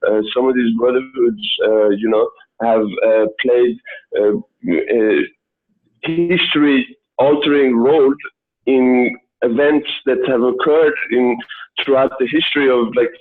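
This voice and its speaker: A man who grew up in Sweden answers interview questions in a halting way, full of "uh" fillers. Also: mid-range voice. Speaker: guest, Persian yoga instructor. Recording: tinny phone-like line.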